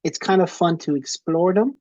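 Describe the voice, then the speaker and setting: A man's voice: high-pitched, moderate pace, darkish tone, no room sound, muffled recording. A young man with an Irish accent giving a chatty, friendly webinar presentation from a small room at home, speaking into a headset. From Ireland. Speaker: presenter and software founder.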